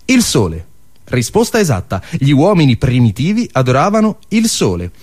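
A man delivering a theatrical storytelling monologue, posing rhetorical questions and using deliberate dramatic pauses. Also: medium pitch, wildly swooping pitch, darkish tone. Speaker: monologue performer.

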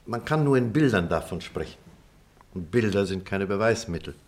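A low, deep male voice played by an actor of a middle-aged man looking back on his life. He speaks like a radio-play storyteller, deliberate and expressive, with a reflective temper. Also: close to an everyday voice. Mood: earnest. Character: reflective middle-aged former pupil telling a childhood memory.

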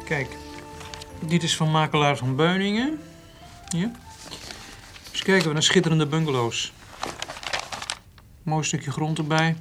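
An actor playing an exasperated, headstrong young farmer's son, insistent and protesting in a radio drama. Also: male voice, a little high, measured pace, long pauses, over music, lightly acted. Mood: persuading. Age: young adult.

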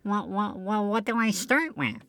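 Bright, animated woman, eager banter. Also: medium pitch, moderate pace, no room sound. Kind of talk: scripted comic banter.

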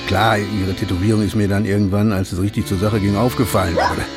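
Older male ex-cop, played by an actor, reflective and rough-mannered, radio crime drama, music underneath. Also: lowish voice, little pitch movement, fast talker, close to an everyday voice. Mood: rueful. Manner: colloquial, reflective. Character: old retired policeman, rueful, coarse, reminiscing.

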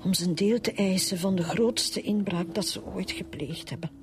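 An actress playing a grieving, embittered burglar's widow with a Flemish accent and a hesitant, sighing delivery in a radio drama. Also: female voice, medium pitch, normal speed, close to an everyday voice. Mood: weary, resentful.

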